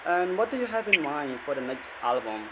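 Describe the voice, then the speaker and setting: A man's voice: fairly high pitch, sing-song, brisk, background noise. A man, a Khmer speaker, asking prepared interview questions.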